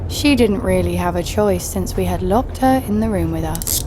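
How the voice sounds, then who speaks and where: An actress playing a ruthless, sardonic assassin in a narrated audio drama, deadpan and menacing. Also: female voice, lowish voice, normal speed, noisy background, natural voice. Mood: deadpan storytelling. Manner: menacing, deadpan, foul-mouthed. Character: ruthless, sardonic woman assassin, destroyer of cities. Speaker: lead actor and narrator. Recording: music behind the voice.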